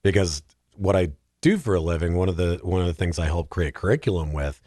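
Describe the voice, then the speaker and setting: Low-voiced man, dry studio sound. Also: brisk, warm tone, no room sound. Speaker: guest on a film discussion podcast. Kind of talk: casual podcast discussion.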